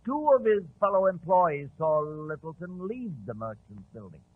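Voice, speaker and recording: An officious police inspector, emphatic and blustering. His delivery swings widely in a husky, highish voice, on a tinny old 1940s radio drama recording. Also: sing-song, normal speed.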